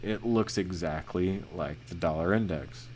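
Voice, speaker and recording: Male trading educator, deep voice, flat and casual delivery, light noise behind. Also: measured pace. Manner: monotone, many fillers and restarts. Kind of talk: casual screen-share chart analysis.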